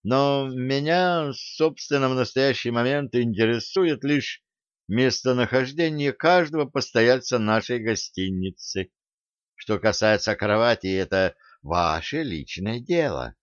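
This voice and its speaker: A prying male investigator as a man reads him in an audiobook, insinuating yet hesitant. He picks his words haltingly and hedges as he probes. The sound is dry and a bit dull. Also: medium pitch, animated, no echo, close to an everyday voice. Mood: awkward, probing. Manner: halting, mumbling, hedging.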